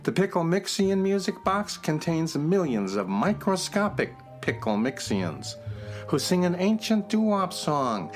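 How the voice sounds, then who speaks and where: A man's voice: fairly high pitch, very animated, unhurried, faint music behind. A man playing a courteous, talkative gallery keeper in a children's radio drama, eagerly and politely explaining things. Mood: helpful, proud. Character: courteous male music box gallery keeper, enthusiastic and talkative.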